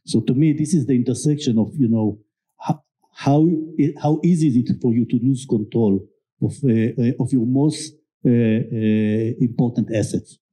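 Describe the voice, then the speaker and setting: A middle-aged man with a thick Israeli accent, telling a candid, self-deprecating story on a panel stage with many pauses and fillers. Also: mid-range voice, dark tone, breathy edge, low-quality recording. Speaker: guest, security company executive. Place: event stage before an audience.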